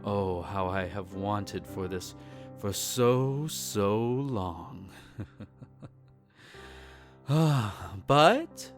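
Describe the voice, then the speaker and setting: Man acting a slow, possessive vampire lord. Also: medium pitch, exaggerated voice. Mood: hungry, savouring, then teasing, coy. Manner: formal, teasing, savouring phrasing with sighs and soft chuckles. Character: possessive, courtly male vampire lord doting on captive pet. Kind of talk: intimate roleplay audio acting, partly whispered.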